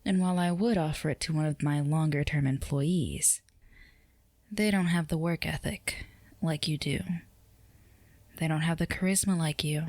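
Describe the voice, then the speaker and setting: Low-voiced flirtatious female boss, played by a woman. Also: steady tone, a little mellow, no echo. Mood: composed, persuasive. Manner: unhurried, teasing, formal and poised. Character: flirtatious, composed female boss, a company CEO. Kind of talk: scripted ASMR roleplay addressed to the listener.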